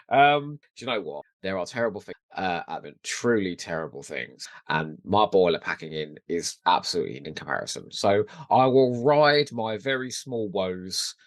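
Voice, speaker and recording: A man's voice: fairly low pitch, dry recording, studio quality. A man co-hosting a podcast with a jokey, self-deprecating, rambling way of chatting. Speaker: podcast co-host. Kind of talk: casual chat.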